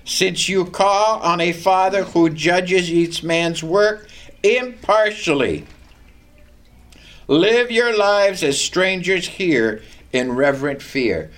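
An older man preaching a sermon with a loud, carrying voice, reading scripture and telling a personal story with animation. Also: high-pitched, slow.

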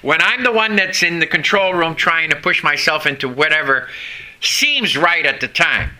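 A man preaching a folksy sermon who grew up in Vermont and whose first language is French. He often turns to the congregation with questions and pauses between phrases. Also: on the high side, animated, bright, forceful tone. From Vermont, USA.

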